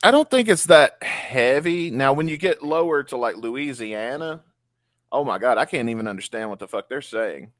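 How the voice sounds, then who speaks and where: A man with a Southern accent hosts a relaxed podcast chat, talking freely and laughing often. His voice sits at an ordinary middle pitch, recorded close and dry with no room around it. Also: no room sound. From Tupelo, Mississippi. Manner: chatty, laughs often, drops into quick impressions. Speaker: podcast host. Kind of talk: casual interview chat.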